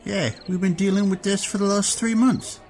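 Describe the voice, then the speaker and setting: A man's voice: high voice, steady tone, moderate pace, darkish tone, faint music behind. A man playing a dutiful, weary fort guard who reports respectfully and matter-of-factly to his lord. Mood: grim.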